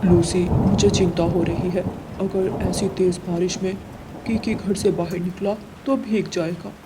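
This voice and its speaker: A woman's voice: lowish voice, steady tone, unhurried, noisy background, close to an everyday voice. A woman voicing a worried, devoted male pigeon, a husband and father, in an earnest, melodramatic Hindi cartoon story. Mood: worried, gentle. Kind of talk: Hindi animated moral story dubbing.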